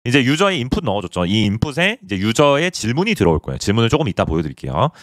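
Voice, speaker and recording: A man's voice: medium pitch, sing-song, very fast, dry recording, studio quality. A man hosting a coding tutorial, walking through code on screen and answering his own questions as he explains. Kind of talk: screen-recorded coding walkthrough.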